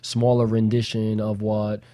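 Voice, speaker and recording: A man's voice: lowish voice, completely flat delivery, measured pace, dark tone. A man co-hosting a talk show in casual radio chat, with playful, teasing, slangy banter.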